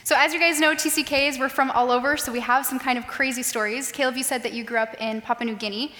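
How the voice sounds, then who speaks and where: A young woman moderates a student panel, tossing out quick, chatty questions. Her voice is high and bright, and she talks fast. A long hall reverb follows each line. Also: fairly even intonation.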